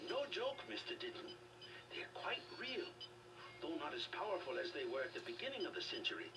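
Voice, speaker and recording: A man's voice: fairly high pitch, big pitch swings, over music. An actor playing a formal, secretive male resistance leader and scientist in a video game, on a noisy distant capture. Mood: measured, cryptic. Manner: formal, measured.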